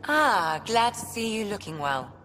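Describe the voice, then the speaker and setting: A woman's voice: medium pitch, sing-song, unhurried, over music. A woman playing a confident, wry, blunt soldier in a video game. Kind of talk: video game cutscene acting. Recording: clear background noise and ship ambience.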